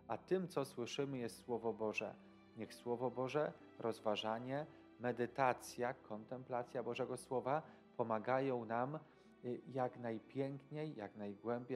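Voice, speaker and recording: Slow-speaking priest, man in his thirties. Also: medium pitch, darkish tone. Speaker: priest commentator. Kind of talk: devotional commentary to camera. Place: indoor studio.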